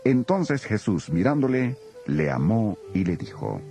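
A man narrating a dramatized scripture reading as an expressive storyteller. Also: lowish voice, a little slow, faint music behind.

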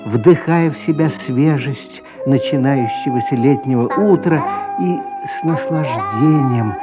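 A man playing the expressive narrator of a radio play, telling a childhood story in a theatrical way that savours each phrase. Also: medium pitch, unhurried, dull audio, over loud music, lightly acted. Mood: savouring, dramatic.

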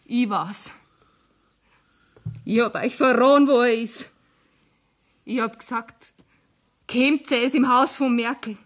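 A woman's voice: a little high, slow pace, pauses often. An actress playing a drunken, bitter, taunting young tavern woman with a Bavarian accent in a German radio play. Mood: bitter, defiant. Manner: brash, mocking, coarse-mouthed.

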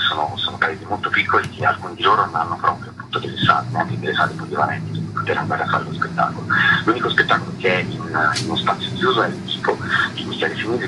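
A man's voice: very deep voice, very noisy. A man being interviewed on the radio as a festival director, explaining in a hesitant way and repeating words as he goes. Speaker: festival artistic director, guest. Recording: music playing loudly under the voice.

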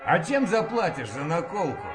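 An actor playing a dry, curt, unsentimental wartime sailor in a Russian radio drama. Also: male voice, sits high, sing-song, normal speed. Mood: curt, dismissive. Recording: music behind the voice.

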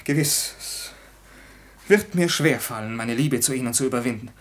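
An actor playing a lovesick, proud young gentleman in a German radio comedy from 1960, declaiming ardently in a theatrical style. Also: mid-range voice, normal speed, close to an everyday voice. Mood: defiant. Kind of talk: German 1960 radio play, classical stage comedy acting.

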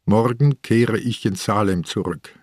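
An older man acting a gruff, wary, sick old police inspector in a German radio drama. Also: on the low side, measured pace, a little mellow, no room sound, clean studio sound. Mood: brooding.

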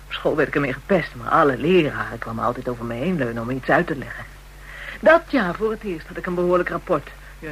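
A woman's voice: low-pitched, a little hiss or hum, low-quality recording. A woman playing a bitter, hard-bitten young call girl in a radio drama, coarse and defiant. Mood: brash, bitter.

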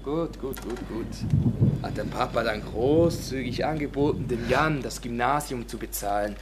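A male actor as a young village man, bitter and defiant. He speaks in halting, sarcastic bursts that swing abruptly in force, radio-drama style, over clearly audible background ambience. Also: mid-range voice, very noisy, close to an everyday voice.

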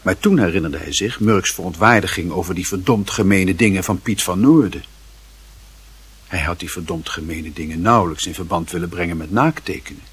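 A man narrating a literary radio play in a grave, measured manner, with a very husky, rough voice. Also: deep voice, a bit bright.

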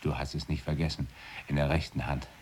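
An actor playing a deadpan man in an experimental radio play, arguing absurd points with dry logic. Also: very deep voice, fairly quick, slightly rough.

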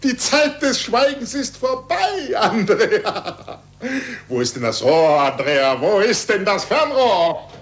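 An actor playing an impassioned, stubborn scientist with emphatic, rhetorical delivery in a 1940s German radio play. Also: male voice, sits high, very animated, slow, fairly bright tone, exaggerated voice. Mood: eager, impatient. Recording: faint noise and hum, vintage radio.

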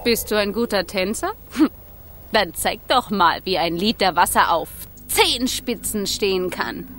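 A woman's voice: mid-range voice, expressive, unhurried, a bit bright, faint music behind. An actress playing a wild, impatient young female fire spirit, playful and yearning, in theatrical children's radio play style. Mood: teasing. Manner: theatrical, exclaiming.

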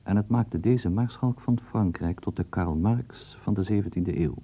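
A man narrating a historical radio program in a measured, ironic storytelling manner. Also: lowish voice, husky. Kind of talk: scripted documentary narration. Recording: tinny phone-like old broadcast.

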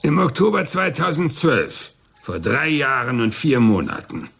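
A man's voice: medium pitch, big pitch swings, measured pace, vocal fry, fairly bright tone, slightly rough, soft background music, exaggerated voice. An actor in a German radio drama playing a self-important, vengeful male TV producer who broods. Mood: bitter, resentful.

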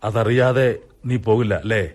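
A man's voice: medium pitch, little pitch movement, normal speed, darkish tone, slight background noise, lightly acted. A man playing a guilty, short-tempered doctor son in a radio drama, hesitant, evasive and blustering. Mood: angry. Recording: radio drama over clear music.

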